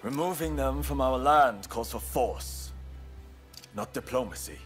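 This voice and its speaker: A man acts a zealous samurai scholar in a game cutscene. His mid-pitched voice is grave and declamatory, with faint music underneath. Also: a little slow, lightly acted. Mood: stern, fervent. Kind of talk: video game cutscene acting.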